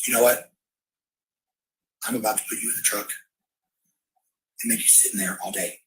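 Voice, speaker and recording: A woman with a husky voice, a parent, scolding and sarcastic in a heated domestic argument. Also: very deep voice, fairly even intonation, normal speed, rough recording.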